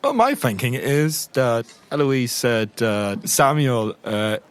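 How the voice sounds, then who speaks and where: A man's voice: mid-range voice, lively intonation, slow, clean tone. A man improvising in character as a tabletop player, casually and a little hesitantly reasoning things out. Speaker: player in a tabletop roleplay podcast.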